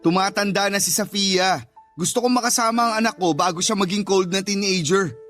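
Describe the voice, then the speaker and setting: A man's voice: sits high, fairly quick, fairly bright tone. A man playing an aggrieved, stubborn Filipino father in a radio romance drama, insistent and conversational. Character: aggrieved, stubborn divorced father standing his ground. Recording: music under the voice.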